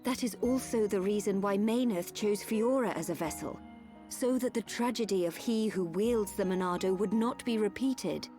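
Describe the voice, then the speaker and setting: A woman playing a solemn, wise and resolute guide in a dramatic video game, speaking in measured, formal phrases. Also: mid-range voice, warm tone, faint music behind, natural voice.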